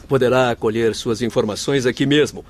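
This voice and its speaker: An actor playing an earnest, courteous doctor spirit in a Brazilian Portuguese radio drama, speaking formally and eloquently. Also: male voice, mid-range voice, steady tone, normal speed, lightly acted. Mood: solemn, counselling.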